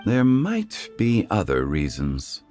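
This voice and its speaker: A man voicing a composed, scholarly gentleman in a video game, speaking in a measured, formal and solemn way. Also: lowish voice, wildly swooping pitch, faint music behind, heavily acted. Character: composed, scholarly gentleman consultant with ancient wisdom.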